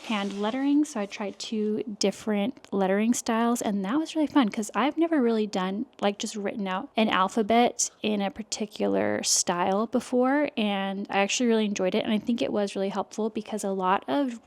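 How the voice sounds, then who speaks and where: A soft-spoken woman chatting casually to camera in her home art studio, talking through her work with lots of fillers. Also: mid-range voice, fairly quick, hardly any pauses. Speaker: illustrator vlogger. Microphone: external microphone.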